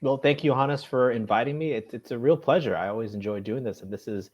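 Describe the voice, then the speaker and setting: A man from the United States, a podcast guest, talking politics in a steady, lecturing way and asking rhetorical questions. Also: medium pitch, quick pace, highs cut off. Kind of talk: earnest political discussion.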